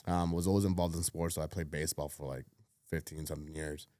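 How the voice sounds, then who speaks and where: A man in his thirties, born in Cuba, telling his life story as a podcast guest in a relaxed way, with lots of fillers, often trailing off quietly. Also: extremely low pitch, fast talker, no echo, clean studio sound. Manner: laid-back, many fillers, drops to a mutter at phrase ends. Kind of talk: casual storytelling chat.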